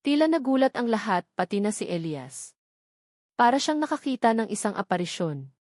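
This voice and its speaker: A woman with a Filipino accent narrating a dramatic scripted story in a measured storytelling manner. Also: on the high side, sing-song, darkish tone, dry recording, clean studio sound. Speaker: audiobook narrator.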